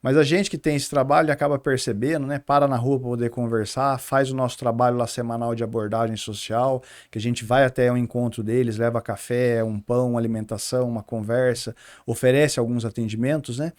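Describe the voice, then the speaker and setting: A man interviewing a guest, speaking in a measured way with frequent fillers as he asks questions. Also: mid-range voice, steady tone, darkish tone. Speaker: interviewer from a social institute. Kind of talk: conversational interview.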